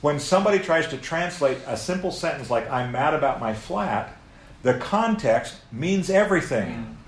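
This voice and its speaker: A man's voice: on the high side, moderate pace. A middle-aged American man preaching a sermon to a live audience, telling stories with playful asides. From United States. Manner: animated storytelling, playful asides. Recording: echoey room, faint background noise.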